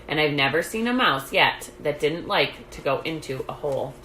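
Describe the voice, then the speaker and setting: A woman voicing an earnest storybook father, musing in a deliberate, worried way. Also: deep voice, expressive, a bit bright. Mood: uneasy. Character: earnest, fretful storybook father, adult man. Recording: roomy echo, light background noise.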